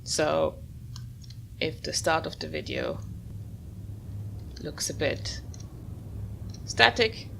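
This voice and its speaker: A woman's voice: low voice, huge pitch swings, drawn-out words, creaky, long pauses, a little hiss or hum. A woman hosting a gameplay video, giving casual commentary as she plays. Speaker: gamer, host of the video series. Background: soft game music.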